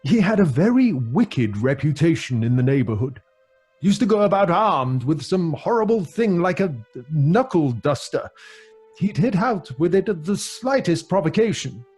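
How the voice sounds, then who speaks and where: Middle-aged British father, slightly husky, fairly high, genial, dramatic radio play storyteller, music behind. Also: sing-song, normal speed, darkish tone. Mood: grave storytelling. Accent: British English. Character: genial middle-aged English father relishing a grim ghost legend. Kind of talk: old-fashioned radio drama acting.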